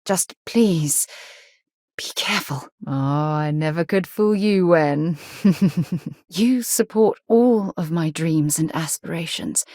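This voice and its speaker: A woman's voice: low-pitched, slow pace, warm tone, dry recording, clean studio sound, exaggerated voice. A woman playing a principled, earnest space explorer in a video game, speaking articulately with precise diction. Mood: earnest, warm. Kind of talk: video game character dialogue.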